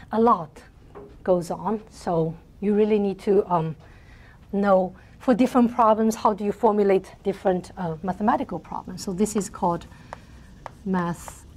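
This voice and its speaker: A soft-spoken middle-aged woman lecturing on math in a large hall, often checking in with her class. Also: mid-range voice, husky. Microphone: lapel mic.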